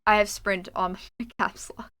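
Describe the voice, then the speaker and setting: A woman's voice: mid-range voice, steady tone, moderate pace, fairly bright tone, smooth. A young adult Canadian woman streaming a game, chatting casually and reading her journal entries aloud. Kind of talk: casual livestream chat while gaming.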